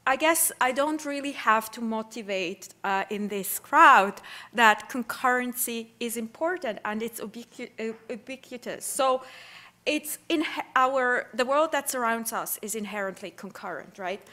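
A middle-aged woman speaks at a podium microphone in a wood-panelled lecture hall. Her voice sits a little high, and she explains in a friendly, conversational way, often restarting her phrases as she goes. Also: measured pace, bright, forceful tone. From Switzerland. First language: Swiss German. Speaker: keynote speaker. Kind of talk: conference lecture.

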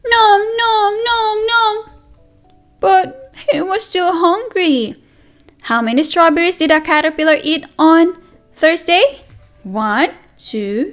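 A woman teacher reading a picture book aloud to toddlers, asking questions and counting along with them. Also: high voice. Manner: animated sing-song, asks children questions and counts along. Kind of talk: read-aloud circle time for toddlers. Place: indoors at a table, only hands and book in frame.

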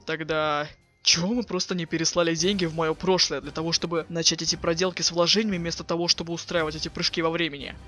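A man voicing a cool, sarcastic teenage boy with offhand deadpan delivery in a webcomic dub. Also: a little high, fast, faint music behind. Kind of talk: fan dub of a webcomic chat.

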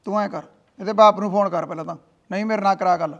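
A man's voice: high-pitched, steady tone, racing, fairly bright tone, lo-fi sound. A man playing a stern, dismissive father in a family quarrel. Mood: gruff. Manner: gruff, dismissive. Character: gruff stern father of the husband.

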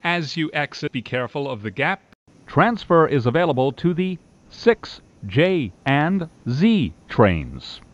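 A man's voice: on the high side, big pitch swings, a little slow. A man reading scripted subway announcements as a transit announcer. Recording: dull, lo-fi audio.

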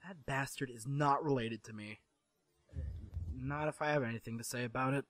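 A man's voice: mid-range voice, normal speed, fairly bright tone, no echo, voice pushed down, lightly acted. A man voicing a young half-French man, sarcastic and guarded, who drops French curses into his speech. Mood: wry.

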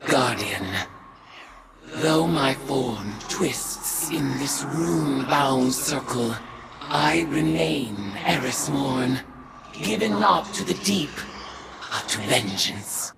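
A woman playing a vengeful witch turned dark god in a video game, ceremonial and commanding, with a low gravelly voice. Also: expressive, slow pace, very noisy, full character voice. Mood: solemn command. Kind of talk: dramatic video game voice acting. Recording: loud music underneath.

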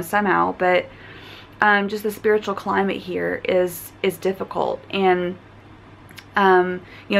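A young woman with a hoarse voice, talking earnestly to a handheld camera in a hotel room, with many fillers and restarts. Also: fairly low pitch, slight background noise. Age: young adult. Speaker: travel vlogger. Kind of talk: casual vlog chat. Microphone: phone camera at arm's length.

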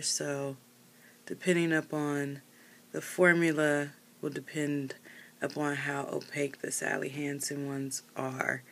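A woman's voice: deep voice, slight background noise. A woman in her thirties reviewing nail polish while painting her nails, talking casually with lots of fillers and self-corrections in a small room. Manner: rambling, hesitant, many fillers and self-corrections.

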